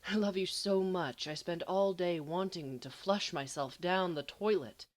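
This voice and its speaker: A woman's voice: on the low side, a little slow, close to an everyday voice. A woman reading the part of a lovesick, self-doubting teenage boy in a storybook, earnest and hesitant. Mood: adoring.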